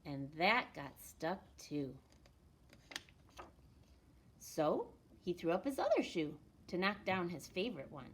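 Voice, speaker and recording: A woman reads a children's picture book aloud in an expressive storytelling manner, with deliberate pauses and emphasis. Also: lowish voice, slight background noise. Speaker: elementary school storytime reader.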